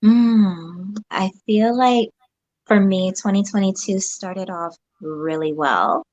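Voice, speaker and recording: A woman's voice: mid-range voice, slow pace, no room sound, highs cut off. A woman from Japan, a podcast guest, speaking English reflectively and hesitantly. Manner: soft-spoken, reflective, hesitant fillers. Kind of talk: casual interview.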